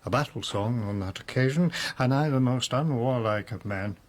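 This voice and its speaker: A man's voice: medium pitch, moderate pace, natural voice. An actor in a radio drama playing a drunken nobleman under suspicion, sly and teasing in a languid, ornate, jesting way. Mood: wry reminiscing. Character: drunken nobleman murder suspect, sly, teasing, mock-humble.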